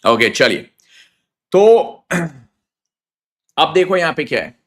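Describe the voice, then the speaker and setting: हिंदी-अंग्रेज़ी मिलाकर बोलता गला बैठा पुरुष शिक्षक. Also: male voice, a little high, very animated, very slow. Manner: hypes students with slang, mixes English into Hindi. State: hoarse, sore throat. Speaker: accounting teacher. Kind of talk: energetic live online class. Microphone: phone on mobile data, live stream.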